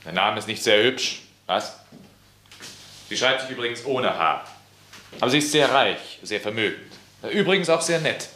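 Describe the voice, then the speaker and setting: A fussy self-absorbed son. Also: male voice, mid-range voice, lively intonation, normal speed, long pauses, pushed and bright, a little hiss or hum. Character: pale self-absorbed officer son, fretful. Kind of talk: radio play acting. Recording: echoey studio room.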